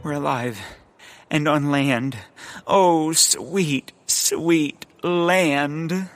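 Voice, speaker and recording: A woman playing an excitable, overconfident bumbling adventurer in a comic audio drama, with a theatrical, exclamatory delivery. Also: low voice, drawn-out words, soft background music, heavily acted. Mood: relieved, elated.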